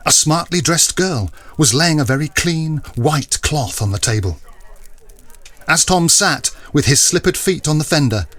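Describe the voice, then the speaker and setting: A man's voice: a little high, fairly bright tone, no echo. A man narrating a classic story as scripted, dramatised literary storytelling.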